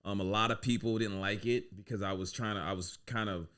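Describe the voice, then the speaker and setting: A man, a guest on a podcast, telling stories from his life in a relaxed, casual way with lots of "you know what I'm saying". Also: fairly low pitch, a little fast, smooth. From United States. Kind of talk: casual storytelling interview.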